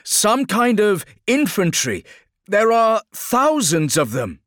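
A man voicing an urgent space marine soldier shouting battle reports in a dramatic audiobook. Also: sits high, big pitch swings, slow pace, no echo, clean studio sound, pitched above the performer's own voice, heavily acted. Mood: alarmed. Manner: raised, alarmed military shouting. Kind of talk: dramatic audiobook character acting.

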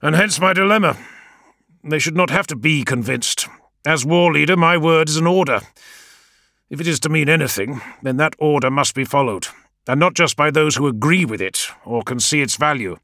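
A man voicing a proud, fiery male war leader who speaks in a raised, forceful way. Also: on the low side, sing-song, moderate pace, dry recording, clean studio sound, pitched above the performer's own voice. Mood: angry. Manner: forceful, raised, impassioned delivery.

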